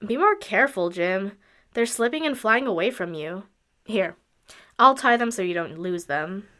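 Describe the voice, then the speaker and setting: A woman's voice: mid-range voice, expressive, normal speed, a bit bright, natural voice. A woman playing a practical, supportive wandering musician in a radio drama, matter-of-fact and encouraging.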